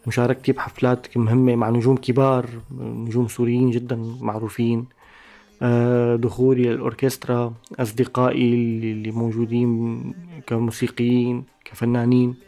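A man with a Syrian Arabic accent, a musician giving a thoughtful interview, pausing and restarting mid-phrase. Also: medium pitch, monotone, dark tone. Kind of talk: reflective interview talk.

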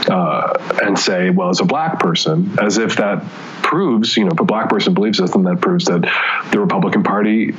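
A man's voice: fairly low pitch, a little hiss or hum. Blunt, emphatic man on a muffled line. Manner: emphatic, blunt, swears freely, trails into asides. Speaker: guest, newspaper columnist. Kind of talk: opinionated conversation. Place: Seattle area.

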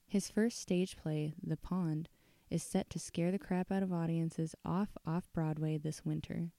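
A woman's voice: deep voice, level tone, a little slow, creaky, mellow, no echo. A woman hosting a storytelling podcast, speaking in an informal, candid way. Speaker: podcast host and story reader. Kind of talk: casual chat and storytelling narration.

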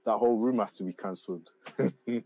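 A man's voice: fairly low pitch, fairly quick, mellow. A man, born in Uganda, bantering playfully in a casual chat. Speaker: livestream co-host.

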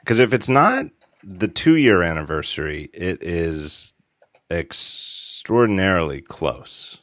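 A deep-voiced man in his forties hosts a casual podcast chat. His pitch swings widely as he rambles through restarts and asides. The sound is close and dry, with no room around it. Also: animated, slow, a bit bright, no echo. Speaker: podcast host.

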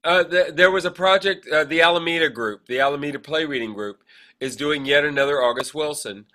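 A man with an American accent hosting a casual chat, animated and given to acting out quoted remarks. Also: a little high, fairly quick. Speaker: podcast host, actor and director.

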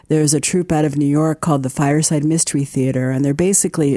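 Mellow woman radio co-host, dry sound. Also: low voice, no room sound. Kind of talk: conversational radio hosting.

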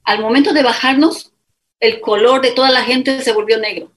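Interview guest: middle-aged Ecuadorian woman, a bit high-pitched, lively storyteller who voices the quoted people. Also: moderate pace. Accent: Ecuadorian Spanish. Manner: animated, quotes others in dialogue, many fillers.

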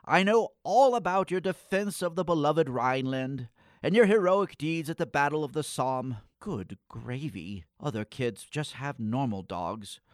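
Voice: mid-range voice, lively intonation, measured pace, no echo, natural voice. A timid little boy, acted out for a comic radio play, morose and theatrical. His delivery swings widely and takes its time. He speaks in florid antique phrases, close and dry. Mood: exasperated, scolding.

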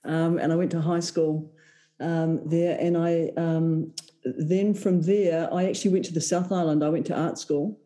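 A woman's voice: sits low, steady tone, moderate pace, mellow. A woman with a New Zealand accent talking as a creative director guest, rambling with many ums. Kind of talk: casual interview.

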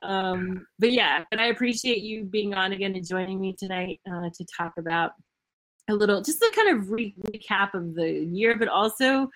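A woman's voice: medium pitch, normal speed, a bit bright, poor audio. A young woman from Tennessee hosting a podcast over a remote call, chatty and casual, with lots of "like" and "um" and frequent laughter. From Memphis, Tennessee, United States. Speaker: podcast host.

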